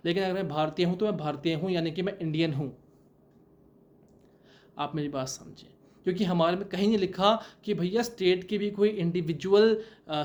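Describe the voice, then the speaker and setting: A young man giving a law lecture, asking rhetorical questions and answering them himself, with many English terms mixed in. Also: sits high. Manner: mixes English terms into Hindi. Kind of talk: explanatory lecture.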